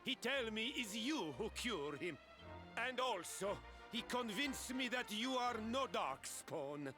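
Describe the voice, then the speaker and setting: Animated Italian merchant father. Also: very high-pitched, slow pace, over music, heavily acted. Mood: earnest, apologetic. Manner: expressive, courtly, broken English. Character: grateful, dramatic Italian-styled merchant father. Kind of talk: video game voice acting.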